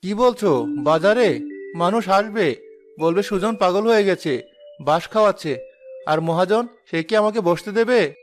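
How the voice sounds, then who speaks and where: A man's voice: high voice, animated, unhurried, pauses often, faint music behind. A man playing a poor, earnest young father and cook in a cartoon drama, anxious but hopeful. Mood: worried. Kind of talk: Bengali cartoon drama voice acting.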